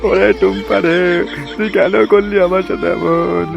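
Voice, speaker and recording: A man acts a naive, wronged young husband in a comedy cartoon. His voice is fairly high for a male and creaky, protesting melodramatically over faint music. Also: little pitch movement, moderate pace, dull audio. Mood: melodramatic grief. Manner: protesting, wailing.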